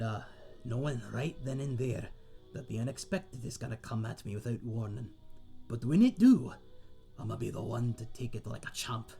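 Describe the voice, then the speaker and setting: Man acting a husky, folksy old-salt tavern keeper. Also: medium pitch, mellow. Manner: chatty, folksy sailor dialect with 'mate' and chuckles. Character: friendly former-sailor tavern owner, cheerful storyteller. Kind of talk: ASMR roleplay monologue in a fantasy setting.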